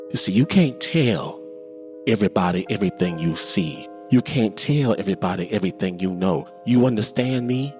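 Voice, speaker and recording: A man voicing a wise older grandmother who speaks slowly in a low, hushed, warning tone. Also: natural voice. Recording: long hall reverb, faint music.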